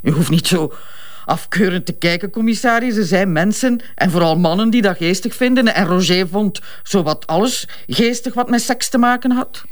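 A woman's voice: medium pitch, lively intonation, normal speed, lightly acted. A middle-aged woman with a Flemish accent playing a cynical, blunt betrayed wife in an audio drama. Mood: sardonic, frank. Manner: frank, sardonic, unabashed. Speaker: actor.

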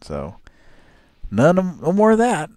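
A man hosting a live show in casual chat, with a gravelly voice and a rambling, laugh-prone way of talking. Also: measured pace, no echo, clean studio sound. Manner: rambling, laughs often, animated swings in pitch.

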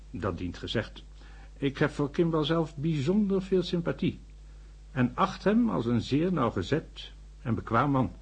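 An actor playing an earnest, thoughtful doctor who narrates a science fiction radio drama. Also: male voice, mid-range voice, husky. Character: male doctor narrating, earnest, sceptical but sympathetic. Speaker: narrator and character.

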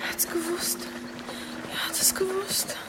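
An actress playing a weak, anxious young daughter in a radio drama, hushed and fearful. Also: female voice, unhurried, whispered, lightly acted. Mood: shocked, frail. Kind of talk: German radio drama acting. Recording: loud ambient noise behind voice.

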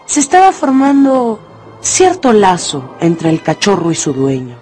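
A man's voice: sits high, huge pitch swings, measured pace, a little mellow, faint music behind. A man narrating a radio novel in a scripted, dramatic storytelling style.

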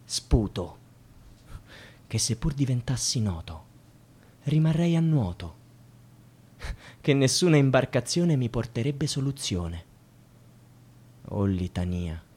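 An actor playing a bitter, defiant young student in a poetic radio theatre monologue, delivered in halting, declamatory phrases. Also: male voice, medium pitch, big pitch swings, heavy background noise. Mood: anguished, pleading. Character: anguished young student, bitter and defiant about precarious work. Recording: music under the voice. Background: music.

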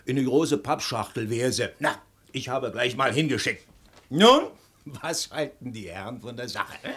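An actor in a 1950s German radio play, playing a self-satisfied, talkative police official who reports with officious eagerness. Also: male voice, medium pitch, animated, moderate pace, a bit bright, slightly rough. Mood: pleased, eager.

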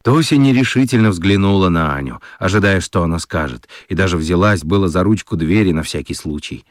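A man narrating a children's radio play in an expressive storytelling style. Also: low-pitched, a little fast, no room sound, clean studio sound.

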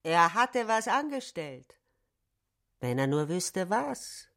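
An actress playing an excitable, scornful woman in a literary radio drama, with a pointed, declamatory delivery. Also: fairly low pitch, slow pace, full character voice. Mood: scornful, wry.

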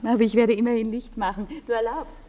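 An actress playing a playful, trusting young sweetheart in a classic German radio play, with a coquettish, soft and compliant manner. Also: female voice, fairly high pitch, fairly even intonation, quick pace, lightly acted.

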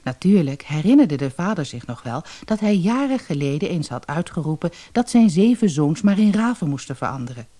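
A storyteller narrating a fairy-tale audiobook in an expressive way. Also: mid-range voice, a little fast, hardly any pauses. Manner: expressive storytelling, acting out dialogue.

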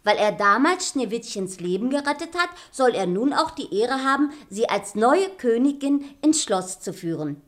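Casting: excitable fairy-tale gossip, radio play; high, sharp, emphatic storyteller. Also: female voice, normal speed, few pauses. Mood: confiding. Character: gossipy, excitable village woman bringing news.

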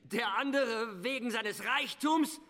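A wry villager in a radio drama, declaiming with blunt sarcasm. His very high, sharp voice moves at a slow pace. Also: heavily acted. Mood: sardonic. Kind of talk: theatrical radio drama acting.

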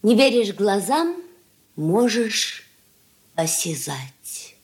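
Slow, imperious young fiancée. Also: female voice, medium pitch, big pitch swings. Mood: imperious, coquettish. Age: young adult. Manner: curt, commanding, languid. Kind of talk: stage-style radio drama acting. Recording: roomy studio echo.

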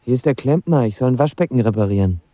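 An actor playing a lovestruck, impulsive young actor of twenty in a radio drama, talking in a colloquial, confiding way. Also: male voice, mid-range voice, expressive, fast talker, warm tone, no room sound, muffled recording, close to an everyday voice. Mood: nervous, joking. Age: young adult. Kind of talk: radio drama narration and dialogue.

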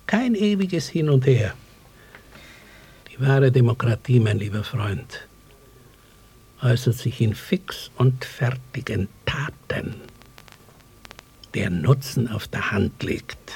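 An actor playing a mild, reflective widowed village man in a radio play, with a measured, hesitant delivery. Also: mid-range voice, expressive, darkish tone. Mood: wry. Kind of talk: German radio play acting. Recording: clearly hissy cassette recording.

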